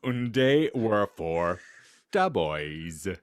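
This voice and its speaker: Male comedy podcast host, low lively voice, theatrical and unhurried, dry close sound. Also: smooth, no echo. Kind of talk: comedic dramatic reading of fan fiction with banter.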